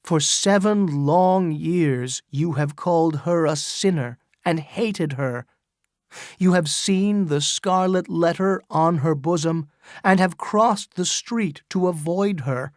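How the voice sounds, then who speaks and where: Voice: mid-range voice, slow pace, dry recording, clean studio sound, natural voice. A storyteller voicing a dying young male minister who speaks in a solemn, declaiming way. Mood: proclaiming.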